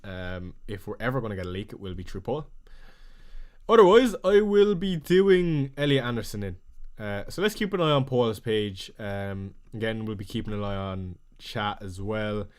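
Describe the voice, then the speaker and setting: A man with a thick Irish accent hosting a casual fantasy football live stream, reading viewer messages aloud and answering each one quickly. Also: on the low side, animated, smooth.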